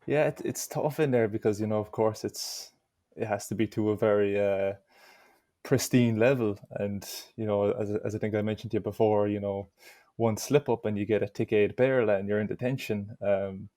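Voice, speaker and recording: A man's voice: fairly low pitch, fairly even intonation, moderate pace, dark tone, dry recording, clean studio sound. A young Irish man answering interview questions in an easygoing way, with lots of "you know". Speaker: interview guest.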